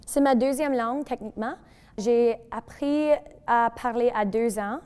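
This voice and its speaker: A woman from Manitoba giving a calm, earnest personal testimony. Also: a little high, measured pace, clean tone. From Manitoba, Canada. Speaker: interviewee. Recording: echoey room with faint music.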